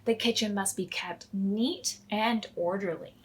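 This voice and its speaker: A woman's voice: mid-range voice, measured pace, slightly rough. A woman hosting a children's storytime, reading aloud expressively in simple phrases for toddlers. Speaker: children's storytime host at a library.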